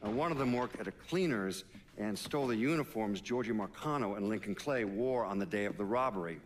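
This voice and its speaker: An actor playing a sober middle-aged American investigator, a man recounting a case in a measured documentary-interview manner. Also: fairly low pitch, lively intonation, music in the background, natural voice. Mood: matter-of-fact. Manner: measured, matter-of-fact.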